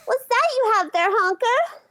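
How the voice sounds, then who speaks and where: A very high, lively child adventurer in a comic dub, acted by a woman. The kid is excitable and ready for a fight, with a bright edge to every line. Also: normal speed, slight background noise. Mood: excited, admiring.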